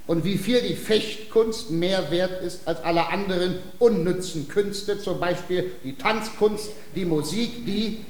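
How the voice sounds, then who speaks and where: Pompous high-voiced fencing master. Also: male voice, a little slow, darkish tone. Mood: boastful. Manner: pompous, declamatory, barking drill commands. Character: swaggering, boastful, quarrelsome fencing master. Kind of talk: German radio play acting, classical comedy. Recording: roomy, echoing radio drama with faint noise.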